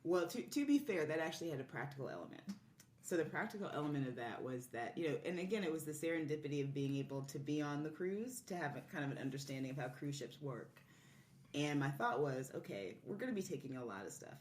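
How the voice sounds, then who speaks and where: A woman chats informally as a podcast guest. She sounds set back from the microphone in a reverberant room, with faint noise behind her and a middling pitch. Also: animated, fast, darkish tone. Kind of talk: casual podcast chat. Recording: roomy, distant mic, faint noise.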